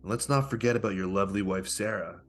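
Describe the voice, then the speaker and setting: A man playing a smug, menacing con-artist pickup guru in a comedic script read, with taunting, theatrical delivery and a husky voice. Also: on the low side, soft background music, lightly acted. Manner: taunting, theatrical, deliberate threats.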